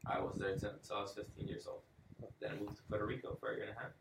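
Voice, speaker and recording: A man's voice: fairly low pitch, flat delivery, rapid-fire, gravelly, recorded from a distance, noisy background. A man from Venezuela, a co-host, chatting casually and cracking jokes. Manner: joking, playful interjections. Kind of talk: casual chat. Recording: roomy sound from off mic.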